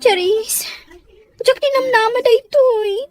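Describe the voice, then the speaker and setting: A woman voicing a petulant, anxious high-school daughter in an audio drama, whiny and pouting, with an Ilocano Filipino accent. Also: a little slow, dry recording, soft background music. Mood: anxious, tearful.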